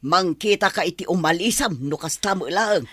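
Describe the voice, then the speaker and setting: A woman's voice: lowish voice, moderate pace, fairly bright tone, husky, no echo. A woman with an Ilocano accent playing a stern, scolding older mother-in-law in a radio-style drama. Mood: scornful.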